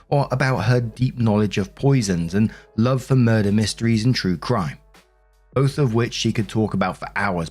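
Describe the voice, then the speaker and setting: A man reading online stories aloud and commenting on them in a conversational way, as a host. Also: on the low side. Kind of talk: story narration with casual commentary. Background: background music.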